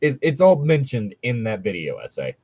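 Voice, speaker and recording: A man's voice: mid-range voice, huge pitch swings, moderate pace, warm tone, slightly rough, dry recording. A man in casual commentary chat, opinionated and argumentative, swearing freely as he talks. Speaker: co-host reading and reacting to fan fiction.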